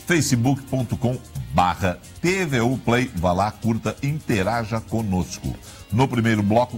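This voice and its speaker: Male TV host, low voice, chatty, faint music bed. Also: a little mellow. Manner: chatty, peppers speech with "né?". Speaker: TV talk show host. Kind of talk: TV interview.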